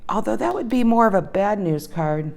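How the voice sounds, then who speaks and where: A woman's voice: medium pitch, animated, normal speed, creaky, a little mellow. A woman giving a casual tarot reading to her viewers, thinking aloud and repeating her questions as she pulls cards, her voice slightly worn. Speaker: tarot reader.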